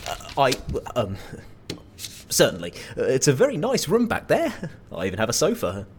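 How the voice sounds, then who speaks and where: Audio drama clerk, low and lively, eager to please, rambling and hesitant. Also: female voice, moderate pace, slightly rough, exaggerated voice. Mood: awkwardly helpful. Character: awkward, eager-to-please petrol station clerk. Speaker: petrol station attendant. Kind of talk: naturalistic audio drama acting.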